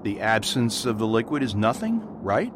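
A man playing a gentle, thoughtful parish priest in a radio play, speaking in a mild, measured, consoling way. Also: lowish voice, very animated. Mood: musing, amused. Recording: faint background noise.

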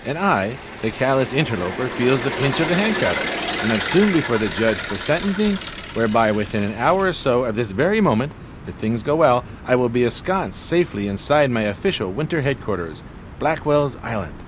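An actor playing a grandiloquent, gentlemanly homeless con man in a 1920s New York radio play, speaking in florid, over-polite phrases with a pompous theatrical manner. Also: mid-range voice, sing-song, brisk, slight background noise, natural voice. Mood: eager, scheming.

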